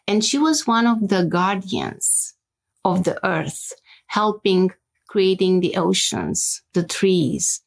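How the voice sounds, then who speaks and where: A woman's voice: lowish voice, lively intonation, slow pace, vocal fry. A woman with a Romanian accent, a hypnosis practitioner explaining her work as an interview guest and tagging her points with "right?".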